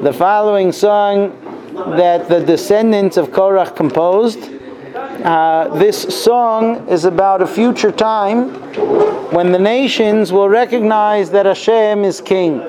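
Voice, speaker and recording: A man giving a religious class as its teacher, explaining deliberately and telling stories as he goes. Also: high voice, low-quality recording. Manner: deliberate, explanatory, repeats and translates Hebrew phrases. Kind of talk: Torah class lecture with storytelling.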